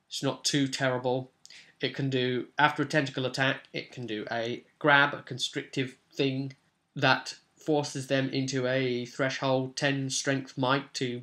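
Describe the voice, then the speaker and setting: A man's voice: mid-range voice, pushed and bright. Man, informal game master recap. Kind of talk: informal vlog recap and advice on a tabletop role-playing session.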